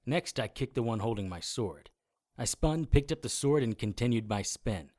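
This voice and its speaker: A man's voice: mid-range voice, expressive, moderate pace, a little mellow, close to an everyday voice. A man playing a tough, defiant private investigator who hunts monsters, narrating an audio drama in a matter-of-fact, hard-boiled way. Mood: determined.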